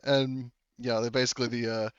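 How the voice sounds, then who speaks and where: A man's voice: mid-range voice, little pitch movement, brisk, clear, resonant tone, no room sound, muffled recording. A man acting as game master, narrating a tabletop game in a casual, jokey, profane, improvised way.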